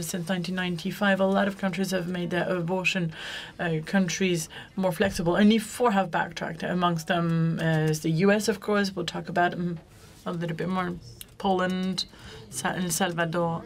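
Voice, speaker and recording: A woman's voice: lowish voice, fairly even intonation, moderate pace, hardly any pauses. A woman moderating a conference panel, speaking loosely and often correcting herself mid-sentence. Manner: loose, self-correcting, with frequent restarts. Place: conference room.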